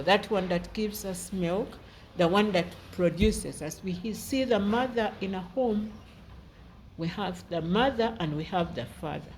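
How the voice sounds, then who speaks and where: A middle-aged woman teacher, giving a slow and patient lesson to young children and spelling words out letter by letter. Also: on the low side, a little hiss or hum. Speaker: primary school teacher.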